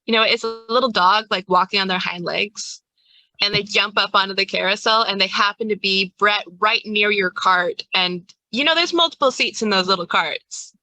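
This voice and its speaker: A woman's voice: mid-range voice, pushed and bright, no room sound, low-quality recording. A woman game master improvising scene descriptions in a conversational way for a tabletop role-playing game.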